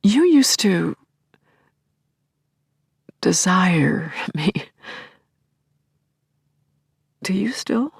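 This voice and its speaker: An actress playing a tender, yearning middle-aged widow in an audio play, with a husky, breathy, confiding delivery that often drops toward a whisper. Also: female voice, fairly low pitch, very animated, no room sound, studio quality, exaggerated voice. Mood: hesitant longing. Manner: confiding, halting, emotionally charged. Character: grieving middle-aged widow recalling her returned dead husband, tender, yearning. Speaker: lead actor in an audio play. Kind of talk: intimate first-person audio drama monologue.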